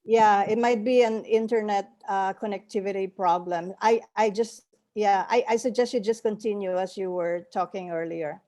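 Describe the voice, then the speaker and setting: Moderator, woman, mellow dark tone, polite and hesitant on a video call. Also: mid-range voice, fairly even intonation, normal speed. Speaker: meeting moderator. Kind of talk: online meeting moderation.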